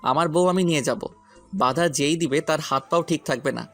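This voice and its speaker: A man's voice: fairly high pitch, moderate pace, fairly bright tone. A man plays an impulsive young man in an audio story. He pleads and challenges with stubborn insistence, fiercely protective, over background music. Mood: defiant. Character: hot-headed young man, protective son and fiance.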